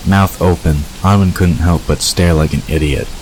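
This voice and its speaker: Very deep-voiced male narrator. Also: moderate pace, darkish tone. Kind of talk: scripted story narration. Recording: noisy, rain-like hiss behind.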